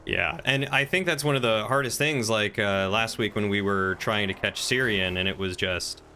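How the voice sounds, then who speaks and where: A man's voice: on the low side, normal speed, pushed and bright. A man chatting casually on a game live stream in an easygoing way, with vehicle noise from the game behind him.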